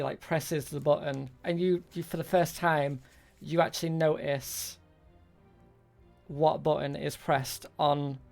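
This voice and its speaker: A game master narrates a tabletop role-playing scene off the cuff. The voice is middle in pitch and creaky, moving slowly and haltingly while searching for words, with soft music underneath.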